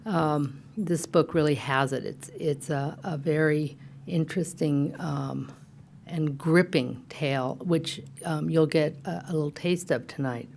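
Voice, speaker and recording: A woman with a low, creaky voice welcomes an audience as the host of a live event. She speaks informally, pausing to search for words and slipping in self-joking asides, with faint noise behind her. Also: darkish tone. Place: public event venue.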